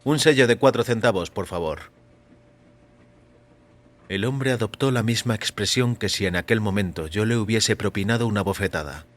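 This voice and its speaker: A man reading a first-person short story as a narrator, in a measured, deliberate way. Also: on the low side. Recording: music under the voice. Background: background music.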